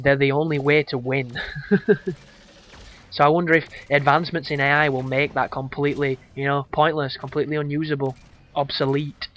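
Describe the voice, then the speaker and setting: A hoarse man talks through a game walkthrough in a mid-pitched voice. His delivery is loose and crude, full of rambling asides. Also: a bit bright. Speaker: gameplay commentator.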